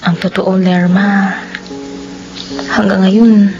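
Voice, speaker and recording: Soft, torn young novice nun. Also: female voice, mid-range voice, flat delivery, takes it slowly. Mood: torn, sad. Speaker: radio drama actress. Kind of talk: Filipino radio drama acting. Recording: dull lo-fi audio with music bed.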